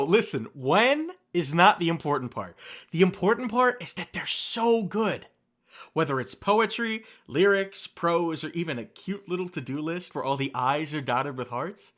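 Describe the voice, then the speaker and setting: A young man performing a scripted ASMR roleplay as a best friend, talking softly and gently and reassuring the listener, with light teasing laughs. He is recorded on a close studio mic. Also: fairly high pitch.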